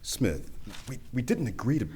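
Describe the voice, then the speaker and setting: A man playing an exasperated police commissioner in a radio play, halting and apologetic. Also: mid-range voice, big pitch swings, mellow, breathy edge. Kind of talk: comic radio play acting.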